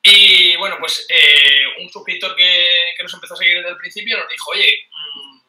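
A man's voice: high voice, moderate pace, pushed and bright, distant mic. A man in his thirties from Spain, chatting casually as a guest in an interview over a video call, telling stories with energy and laughing often. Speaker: board game youtuber guest. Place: small room at home, indoors. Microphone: webcam mic on a video call. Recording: roomy webcam audio from off-mic.

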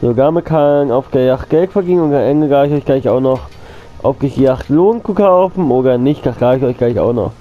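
Guy with a cold chatting over his game, coughs, kinda dull lo-fi mic, mid pitch. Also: a little fast, soft background music. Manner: rambling, repeats himself, addresses viewers as friends. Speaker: gaming channel host. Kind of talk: casual gameplay commentary.

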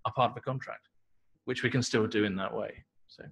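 A man's voice: lowish voice, fairly even intonation, fairly quick, vocal fry, fairly bright tone, husky. A man answering audience questions at a tech meetup, casual and explanatory, full of "you know" and "sort of". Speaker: tech talk co-presenter. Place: meetup talk venue indoors.

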